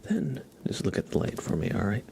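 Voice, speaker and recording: A man hosting an ASMR livestream, talking to viewers in a soft, hushed, gentle and halting way. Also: on the low side, wildly swooping pitch, creaky, slight background noise. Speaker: live streamer, ASMR host.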